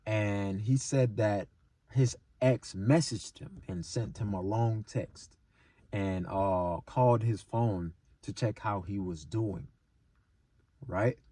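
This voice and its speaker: A man with a low, mellow voice talks casually to his viewers as he shares a story and advice. He takes his time, repeating points and tacking short questions onto them. The sound is close and dry. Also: slow, no echo. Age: adult, older than twenty-seven. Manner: punctuates points with rhetorical tag questions and repeats phrases. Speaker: video channel host giving relationship advice.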